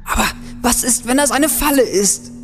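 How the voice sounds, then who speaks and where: A woman playing an anxious, cautious young student in an audio adventure drama, hesitant and expressive. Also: on the high side, moderate pace, faint music behind, lightly acted. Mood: worried.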